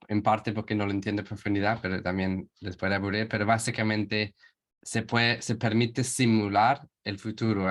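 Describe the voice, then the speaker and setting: A man's voice: lowish voice, fairly bright tone. A man from England with an English accent presenting a webinar in a second language, hesitant and searching for words.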